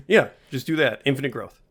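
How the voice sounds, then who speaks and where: A man's voice: medium pitch, moderate pace, fairly bright tone, husky. A young man co-hosting a casual podcast, throwing in dry joking asides. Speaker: podcast co-host.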